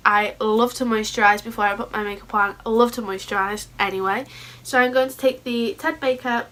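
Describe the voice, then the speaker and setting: A woman with a sore throat and a hoarse voice, chatting casually and a bit scatterbrained through a makeup tutorial. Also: fairly high pitch, fairly even intonation, fairly quick, fairly bright tone. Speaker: beauty vlogger.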